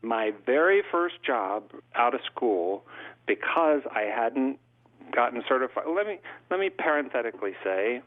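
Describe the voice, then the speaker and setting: A man's voice: medium pitch, big pitch swings, unhurried. A middle-aged man from California, a court reporter and interview guest, telling long stories in a thoughtful, conversational way. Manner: thoughtful, long storytelling answers. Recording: tinny phone-like line.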